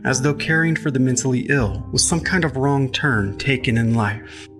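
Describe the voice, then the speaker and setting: A man reading scripted literary fiction as a podcast narrator, with a measured storytelling delivery. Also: medium pitch. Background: music, guitar.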